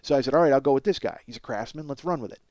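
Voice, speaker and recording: A middle-aged man hosting a comedy podcast, telling stories in a casual, profane, self-deprecating ramble and exaggerating excitably for comic effect. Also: mid-range voice, rapid-fire, dry recording. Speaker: podcast host, comedic storyteller.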